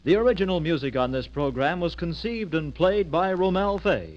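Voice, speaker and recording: A dull, band-limited vintage recording carries a man with a fairly high voice. He works as a radio announcer, reading scripted credits in a formal old-time broadcast style. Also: expressive, normal speed, darkish tone.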